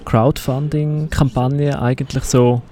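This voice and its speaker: A man hosting a casual interview, relaxed and hesitant with fillers. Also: mid-range voice, takes it slowly. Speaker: podcast host.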